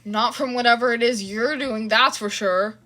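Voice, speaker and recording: A melodramatic teenage boy, read by a woman in an audio story. The voice is bright and fairly high, exasperated and full of complaint. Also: normal speed. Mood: disgusted, exasperated. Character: exasperated, dramatic, easily disgusted teenage boy. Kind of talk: fan fiction audio reading.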